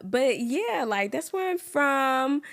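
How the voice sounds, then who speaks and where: A woman's voice: high-pitched, sing-song, slow pace. A young woman from Georgia, hosting a casual podcast chat in an upbeat, talkative way with many ums. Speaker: podcast host.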